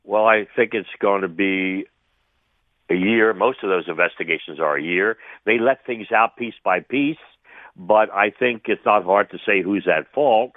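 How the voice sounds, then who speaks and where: Phone-in expert: older male, fairly low register, thin telephone sound, halting and reflective, repeats himself for emphasis. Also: no room sound. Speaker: retired air traffic controller, interview guest. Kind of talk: spontaneous radio interview commentary. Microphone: phone call to a radio show. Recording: tinny, band-limited phone line.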